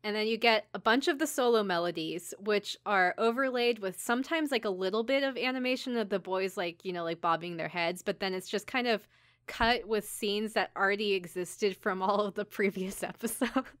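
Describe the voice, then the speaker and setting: A woman's voice: medium pitch, moderate pace, fairly bright tone, no echo, clean studio sound. A woman hosting a podcast, chatting casually and acting out bits as she recaps a show. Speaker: podcast host. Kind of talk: casual chat recapping an anime.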